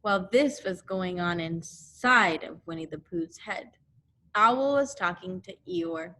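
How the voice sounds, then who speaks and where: A woman teacher reading a children's story aloud in an expressive narrating style. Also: on the low side, takes it slowly.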